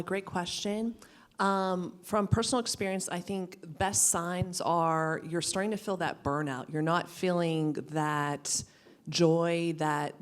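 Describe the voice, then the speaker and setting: A woman on a career panel who speaks earnestly about resilience and burnout. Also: fairly low pitch. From western Pennsylvania, US. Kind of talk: panel discussion.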